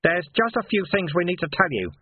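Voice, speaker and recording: Wry old monk mentor man acting it out, higher register, casual, unhurried patience, phone-like audiobook sound. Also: big pitch swings, husky, no echo, heavily acted. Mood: calm, wry. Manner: patient, matter-of-fact, casual.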